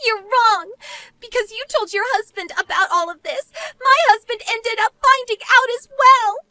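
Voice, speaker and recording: A woman's voice: very high-pitched, moderate pace, pushed and bright, no echo. A woman playing a spoiled, arrogant banker's wife in melodramatic scripted story dialogue, desperate and whiny. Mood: desperate pleading. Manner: whiny, haughty, pleading.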